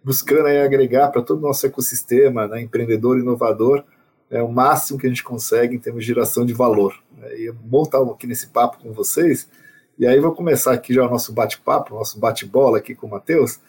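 A man's voice: medium pitch, fairly even intonation, fast, darkish tone, breathy edge. A Brazilian man co-hosting a podcast, upbeat and dropping in tag questions as he sets up his question. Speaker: co-host, senior director. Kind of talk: conversational interview.

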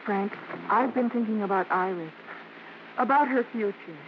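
A woman's voice: mid-range voice, normal speed, close to an everyday voice. An American actress playing a spirited woman of a show family in a 1950s radio drama. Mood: pensive. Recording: dull, faintly noisy old radio recording.

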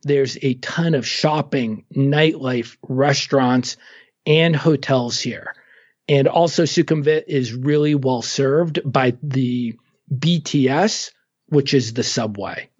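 A man speaks at a middle pitch in a relaxed, informative way, pausing on fillers as he explains. The recording is slightly dull and muffled, like remote audio. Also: slightly rough. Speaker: travel guest expert. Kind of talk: casual travel advice conversation.

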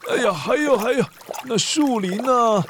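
High-pitched, excitable, fretful rich master. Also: male voice, noisy background. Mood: musing, eager. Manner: dramatic, drawn-out, full of sighs and exclamations. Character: excitable, fretful wealthy master who paints animals. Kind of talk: children's audio story acting.

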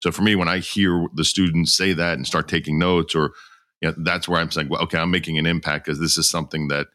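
A man's voice: very deep voice, level tone, quick pace, sharp tone. A middle-aged man co-hosting a podcast, easygoing and repeating his words as he talks. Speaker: podcast co-host. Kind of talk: podcast interview.

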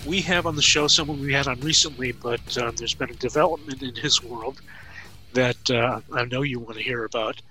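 A man hosting a podcast interview, friendly and halting, with frequent filler words. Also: mid-range voice, pushed and bright, faint music behind, rough recording. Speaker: podcast host. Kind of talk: casual interview chat.

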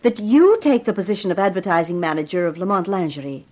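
An actress playing a cool, brisk young company boss in a 1950s radio drama, formal and businesslike. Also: female voice, fairly low pitch, lively intonation, warm tone. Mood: firm, decisive. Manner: businesslike, commanding, crisply formal.

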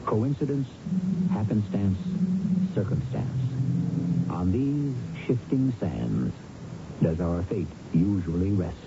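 Grave, deep-voiced mystery radio host. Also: male voice, animated, background noise. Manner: deliberate, portentous. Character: grave, philosophical male mystery show host. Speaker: show host and narrator. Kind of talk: scripted dramatic radio narration. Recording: dull vintage radio broadcast with music.

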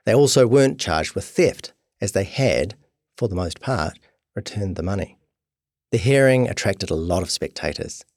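A man's voice: low-pitched, expressive, moderate pace, darkish tone, no echo, clean studio sound. A man narrating a scripted documentary podcast.

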